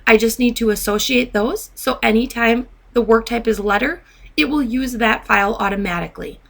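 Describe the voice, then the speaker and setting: A woman with a fairly high voice narrates a software training tutorial. She speaks as an instructor, methodically guiding the listener through each step. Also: moderate pace, gravelly.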